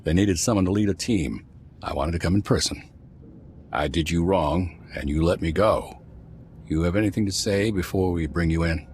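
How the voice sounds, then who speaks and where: A seasoned male squad leader in a spy game, acted by a man. His voice sits low, and he speaks plainly and sincerely with a folksy turn. Light ambient noise runs behind him. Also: moderate pace, a little mellow, lightly acted. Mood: guarded, cordial. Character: weathered, plain-spoken male spy field team leader. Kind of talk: video game drama acting.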